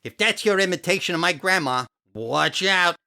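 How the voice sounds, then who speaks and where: A woman's voice: lowish voice, animated, normal speed, pushed and bright, no echo, studio quality. An actress playing a cheeky, wisecracking female sidekick in a comedy audio drama, with a teasing, wry delivery.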